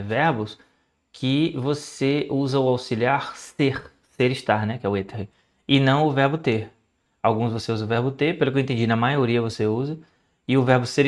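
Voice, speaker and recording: A young Brazilian man with a Brazilian Portuguese accent, chatting casually to viewers about his language studies, thinking aloud with many fillers and restarts. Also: medium pitch. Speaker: language learner and channel host. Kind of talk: casual screen-share study vlog.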